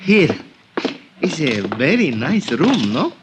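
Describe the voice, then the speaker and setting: Eager Spanish-accented bellboy hustler, lively pitch. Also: male voice, takes it slowly. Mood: eager, obliging. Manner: wheedling, obliging, broken English. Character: small grinning, eager, scheming hotel bellboy hustler. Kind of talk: old-time radio drama acting. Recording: noisy old radio recording with clicks.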